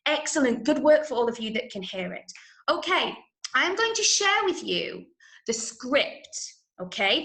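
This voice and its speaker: A woman from Manchester with an English accent, teaching an online drama lesson to children in an encouraging, clearly explained way. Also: fairly high pitch, fairly bright tone. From Manchester, England. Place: indoor room, online call. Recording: echoey room.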